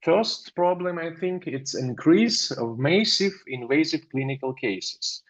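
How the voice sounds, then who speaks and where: A man's voice: a little high, animated, measured pace. A man with a Russian accent giving a hesitant webinar lecture on dentistry, full of fillers and restarts over a video call. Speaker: dental lecturer presenting a webinar. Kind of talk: halting webinar presentation. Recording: slightly dull video call audio.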